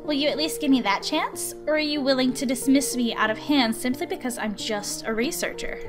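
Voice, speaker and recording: Visual novel tutor: high-pitched, measured, courteous woman playing a scholarly young teacher, music underneath. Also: natural voice. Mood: earnest. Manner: polite, measured, earnest. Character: patient, formal woman researcher acting as substitute tutor. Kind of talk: visual novel character reading.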